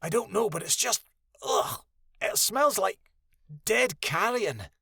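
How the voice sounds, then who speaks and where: An eager, inquisitive wolf companion, voiced by a man for a fantasy audiobook. The voice is pitched high and very lively, full of wonder, in a dry recording. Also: measured pace, dry recording, heavily acted. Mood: alert, disgusted. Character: excitable, inquisitive male wolf companion with paws.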